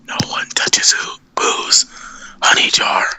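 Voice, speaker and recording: A man's voice: slow pace, in a whisper, lightly acted. A man voicing a domineering, honey-obsessed storybook bear who makes threats in a comic improvised story. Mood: demonic threat.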